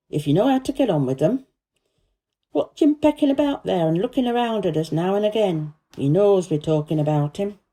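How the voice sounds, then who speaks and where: A woman acts out an old Yorkshire gardener from a children's storybook. The voice is rough and rustic, mellow in tone with lively pitch. The manner is surly yet fond. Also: lightly acted. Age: older. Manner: crusty, rustic dialect speech. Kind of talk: storybook character reading.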